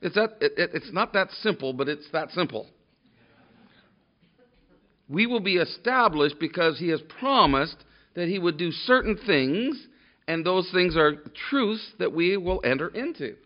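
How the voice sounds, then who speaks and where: A man preaching a sermon to a congregation, loud and emphatic, often restarting his sentences. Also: high-pitched, expressive, measured pace. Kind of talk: sermon with scripture reading. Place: church room with a congregation. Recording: dull lo-fi sermon recording.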